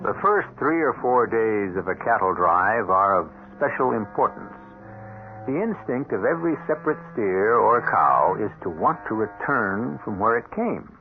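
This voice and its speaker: Narrow-band old radio, music behind, male host, lowish gravelly voice, expressive scripted storytelling, measured and literate. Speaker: radio drama host and narrator. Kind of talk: scripted 1970s radio narration.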